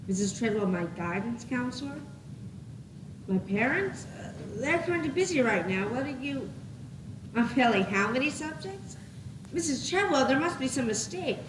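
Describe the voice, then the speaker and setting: A woman's voice: high-pitched, lively intonation, unhurried, pauses often, a crowd in the background. A young teenage girl acting a worried, mixed-up schoolkid in a school stage play. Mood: worried, wistful. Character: worried, mixed-up schoolkid regretting a big change. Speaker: student actor. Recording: echoey noisy 1980s school TV tape.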